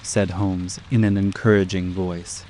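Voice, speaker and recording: Casting: man for measured detective-story narration, flat intonation, deep register, thoughtful scripted delivery. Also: a little hiss or hum. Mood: calm. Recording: faint rain ambience behind.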